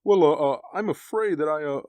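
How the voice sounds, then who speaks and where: An actor playing a smug, successful middle-aged star actor in an old radio drama, with a polished, clipped manner that stammers under pressure. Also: male voice, fairly high pitch, brisk, a little mellow, no room sound, natural voice. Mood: nervous. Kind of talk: 1940s radio acting.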